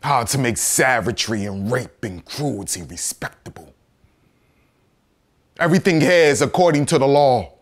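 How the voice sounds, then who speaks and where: A man acts out a condemned rebel in a theatrical monologue, bitter and righteous. He speaks as an orator accusing his judges, moving between hushed menace and thundering force. The delivery is defiant throughout. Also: on the low side, animated, normal speed, few pauses, slightly rough, heavily acted. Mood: bitter, sardonic. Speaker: actor performing a stage monologue.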